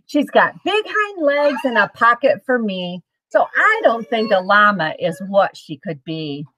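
A middle-aged woman reading a children's picture book aloud in an expressive, sing-song way for young listeners. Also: on the high side, a little slow, no echo, low-quality recording. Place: small home room with bookshelves, indoors.

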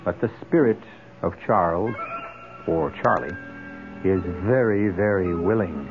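A man hosts an old radio mystery with dramatic, wry narration. His voice is low and very deliberate. Music plays behind him. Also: expressive. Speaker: host of radio mystery anthology. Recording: tinny old radio broadcast.

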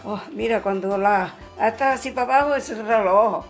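A woman's voice: medium pitch, moderate pace. An older woman in her seventies with an accent from Spain, reacting casually in exclamations on an outdoor patio. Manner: exclamatory, expressive. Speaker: grandmother trying virtual reality. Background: background music.

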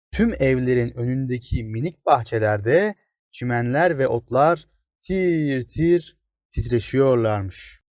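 A man's voice: mid-range voice, a little mellow, no echo, studio quality. A man reading a scripted children's fairy tale as a storyteller.

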